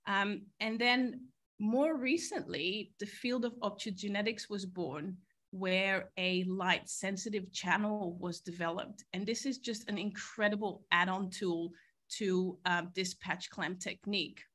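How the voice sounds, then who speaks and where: A woman's voice: mid-range voice, steady tone, unhurried, fairly bright tone. A woman, giving an earnest scientific keynote over a video call from a university boardroom. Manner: earnest, explanatory, with occasional fillers. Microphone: video call, laptop mic.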